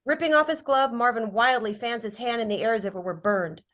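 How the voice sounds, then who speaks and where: A woman's voice: fairly high pitch, a little fast, fairly bright tone. A woman reading stage directions as scripted narration at a drama table read.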